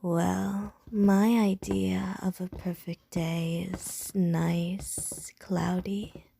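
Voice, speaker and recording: A seductive ancient vampire lady, as a woman plays her for an ASMR roleplay, teasing in a soft, breathy whisper. Her voice sits low, dark and gravelly, and her pace is very slow and unhurried. She sounds playful and predatory at once. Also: flat delivery, a little hiss or hum, full character voice.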